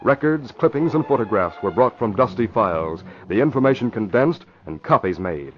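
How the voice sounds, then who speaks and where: A man's voice: mid-range voice, lively intonation, normal speed. A man announcing in 1940s radio style, with emphatic, polished scripted delivery. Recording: muffled vintage radio broadcast.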